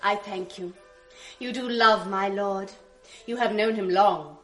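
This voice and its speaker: An actress playing a loving young noble wife in a 1980s radio drama, gentle and earnest, coaxing insistently. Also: female voice, medium pitch, takes it slowly, faint music behind. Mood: reassuring.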